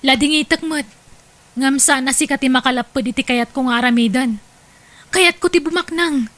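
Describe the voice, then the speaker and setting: A woman's voice: high-pitched, moderate pace, a bit bright. A woman with an Ilocano Filipino accent playing a stubborn, ambitious young wife and mother in an audio drama. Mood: insistent, impatient.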